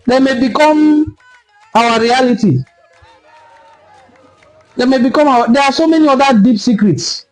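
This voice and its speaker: Forceful high-voiced Nigerian man lecturing. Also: rough recording. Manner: forceful, lecturing, repeats for emphasis. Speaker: online cultural commentator. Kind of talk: impassioned solo commentary video.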